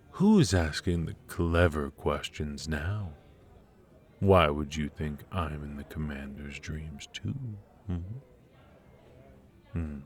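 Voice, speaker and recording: A man playing a silky, mocking dark warlord in an intimate ASMR roleplay, with theatrical, taunting, drawn-out delivery. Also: very deep voice, heavily acted. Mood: sly, teasing. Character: dark male warlord villain, silky, mocking, menacing dream invader. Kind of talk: ASMR roleplay, intimate villain monologue addressed to the listener.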